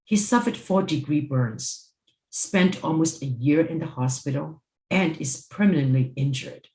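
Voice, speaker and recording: A mature woman from Hong Kong giving a scripted panel speech in a formal, deliberate, emphatic manner. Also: low voice, animated, a little mellow. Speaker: panel speaker, former judge. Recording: roomy, echoing sound.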